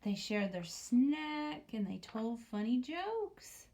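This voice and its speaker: Slow, mellow woman reading to children. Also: a little high, big pitch swings. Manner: often drops into a hushed near-whisper; asks the listening children questions. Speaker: teacher reading aloud to students. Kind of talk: picture-book read-aloud with commentary for young children.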